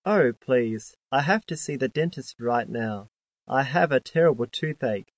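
A man's voice: mid-range voice, animated, dry recording. Man reading English test dialogues, dry sound. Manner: plain, measured textbook delivery. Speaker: dialogue reader on a listening test. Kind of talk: scripted reading of exam dialogues.

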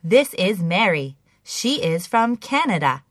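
A woman's voice: on the low side, very animated, unhurried, no room sound, studio quality. A woman reading scripted English listening exercises, enunciating carefully like a language-lesson recording. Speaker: English listening test reader.

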